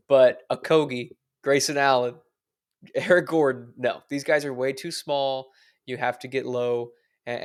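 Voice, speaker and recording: Man giving measured sports analysis. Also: medium pitch. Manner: measured, analytical, dry jokes. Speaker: podcast co-host. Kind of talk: casual sports podcast chat.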